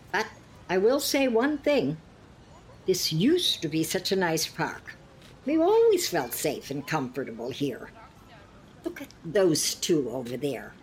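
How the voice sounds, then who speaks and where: Woman acting slow, prim elderly lady, lilting and judgmental. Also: fairly low pitch, slight background noise, heavily acted. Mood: fretful, judgmental. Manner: prim, sighing, pointedly polite barbs. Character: elderly woman, genteel but judgmental, passive-aggressive old friend. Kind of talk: scripted two-hander audio comedy-drama.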